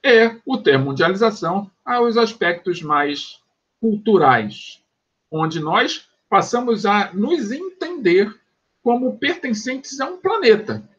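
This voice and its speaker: A Brazilian man teaching a sociology class in an online lecture, explaining step by step and pausing to check that students follow, in a dull lo-fi home recording. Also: sits high, fairly bright tone. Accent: Brazilian Portuguese. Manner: explanatory, checks understanding with quick asides.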